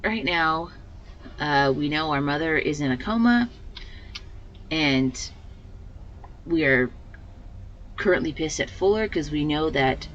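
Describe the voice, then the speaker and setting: A woman with a slightly hoarse voice hosting a let's play, giving casual commentary and thinking aloud as she plays. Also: deep voice, a bit bright, dull audio, a little hiss or hum. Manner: rambling, thinking aloud with fillers.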